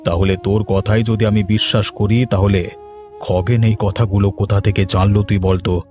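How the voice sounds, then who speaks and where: A man's voice: on the low side, level tone, normal speed, warm tone, soft background music, natural voice. A man voicing an elderly, trusting landowner father in a cartoon. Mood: hurt, doubtful. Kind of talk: Bengali cartoon dubbing.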